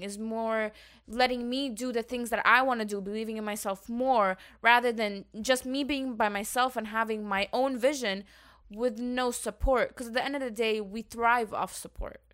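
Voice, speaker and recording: A woman with a fairly high voice talking through her thoughts in an earnest, reflective way. She sounds like a podcast host in casual conversation. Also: moderate pace, a bit bright. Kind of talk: casual chat.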